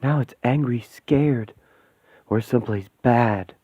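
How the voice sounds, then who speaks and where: A man voicing an earnest young woman versed in magic, who explains things quickly. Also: mid-range voice, dark tone, breathy edge, no room sound, clean studio sound. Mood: uneasy. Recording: tinny phone-like sound.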